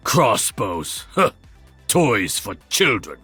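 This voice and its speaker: An actor playing a proud, stern master archer in a video game, with a Japanese accent and a formal, haughty delivery. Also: male voice, lowish voice, sing-song, very slow, pushed and bright, slightly rough, faint music behind, exaggerated voice. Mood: haughty, resolute. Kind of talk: video game character voice lines.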